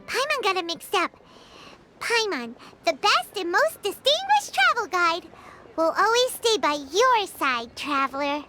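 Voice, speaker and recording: A woman's voice: big pitch swings, unhurried, noisy background, full character voice. A woman voicing a tiny, chirpy, boastful fairy companion with a childlike voice in a video game. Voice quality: squeaky childlike voice. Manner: refers to self in third person. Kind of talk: English video game voice acting.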